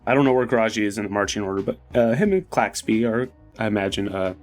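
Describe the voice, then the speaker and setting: A man's voice: fairly low pitch, lively intonation, fast talker. Man playing a tabletop game, music behind. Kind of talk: casual game-table talk. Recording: music under the voice. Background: background music.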